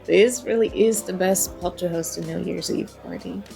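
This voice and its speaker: A woman's voice: on the low side, moderate pace, creaky. A woman playing a flirty, charming stranger at a party bar in an ASMR roleplay, teasing and coy as she talks. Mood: playful confiding. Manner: playful, teasing, coy, mock-apologetic. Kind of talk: ASMR roleplay, acted one-sided conversation. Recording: soft music and party chatter behind. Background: music and crowd murmur of a party bar.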